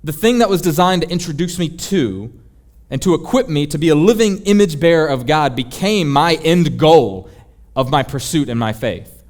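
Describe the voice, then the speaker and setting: A man's voice: a little high, expressive, normal speed, a little mellow. A man preaching a sermon in an emphatic, rhetorical and confessional manner. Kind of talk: sermon, teaching.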